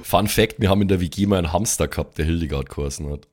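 A man's voice: low-pitched, very fast, darkish tone. A man bantering casually as a player in an improvised tabletop role-play game. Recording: background music under the voice.

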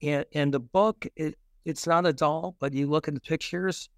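Higher-voiced man, smooth, halting talker. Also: steady tone, no echo, clean studio sound. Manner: halting, circles back with repeated filler words. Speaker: interview guest, children's author. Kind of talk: casual conversational answers.

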